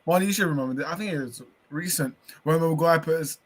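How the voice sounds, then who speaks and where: A man's voice: a little high, fairly quick. Laid-back man in blokey football banter. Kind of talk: casual banter.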